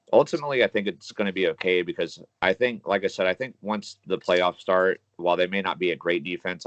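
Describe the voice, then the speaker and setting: A man with a deep voice talks sports casually as a podcast co-host. His audio is dull and lo-fi, with very little room sound. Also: fairly bright tone, no echo.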